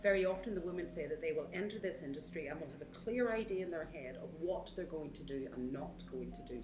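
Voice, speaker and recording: A low-voiced woman with a Northern Irish accent speaks earnestly and with emphasis, repeating phrases to drive points home. She is heard in an echoey room. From Northern Ireland. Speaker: support worker. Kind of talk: documentary interview.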